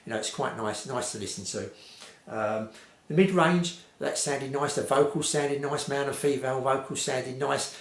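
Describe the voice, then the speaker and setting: A man's voice: mid-range voice, normal speed, vocal fry. A man giving an informal, unscripted hi-fi review in a rambling, repetitive way with lots of hedging. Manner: rambling, hedged, repetitive phrasing. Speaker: vintage hi-fi reviewer. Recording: roomy, echoey home recording.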